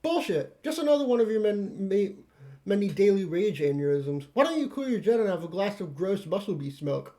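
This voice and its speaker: A man's voice: high voice, sing-song, normal speed, dark tone. A man voicing a brash, bossy young female troll who schemes and mocks with sarcasm. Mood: sly, mocking. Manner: snarky, bossy, mocking.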